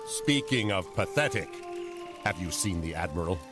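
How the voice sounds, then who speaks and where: A man's voice: deep voice, expressive, normal speed, loud music behind the voice. A man playing a wry, irreverent Chinese sailor and loyal sidekick in a video game cutscene, with a dry, sardonic delivery. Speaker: game character, captain's companion.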